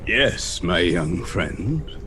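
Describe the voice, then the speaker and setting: An actor playing an ancient aristocratic vampire count in a horror audio drama, formal and ominously polite. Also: male voice, low-pitched, sing-song, drawn-out words, background noise, exaggerated voice. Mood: mock courteous. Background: music.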